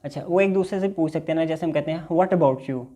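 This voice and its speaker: A man's voice: fairly high pitch, fast, mellow. A man teaching basic English in a recorded lecture, patiently explaining and reading example dialogues aloud.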